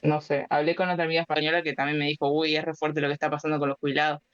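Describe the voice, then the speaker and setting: A woman in her twenties with an Argentine Spanish accent, a guest speaking casually and thoughtfully, with many pauses and fillers. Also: deep voice, level tone, no room sound, dull audio. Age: 20s. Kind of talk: casual interview chat.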